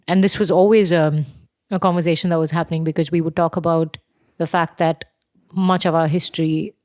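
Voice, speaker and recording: A woman's voice: low voice, a little mellow, no room sound, studio quality. A woman from India talking in a podcast discussion, reflective and searching for her words. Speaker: podcast co-host. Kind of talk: casual discussion.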